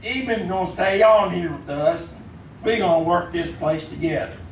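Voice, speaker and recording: A man's voice: sits high, normal speed. A man playing a stubborn, generous Ozark farmer with a Southern American accent and folksy backwoods dialect in a radio western. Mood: firm, folksy. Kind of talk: live old-time radio western acting. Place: live stage in a hall with audience. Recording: distant, echoey stage, audience noise.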